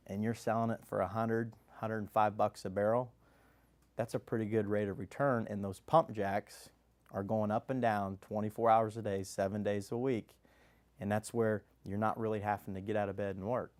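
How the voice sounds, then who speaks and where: A man from Kansas with a blended Texas, Louisiana and Midwest accent, confidently pitching his oil company as a radio guest. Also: steady tone, moderate pace, darkish tone. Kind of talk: sales pitch interview answers.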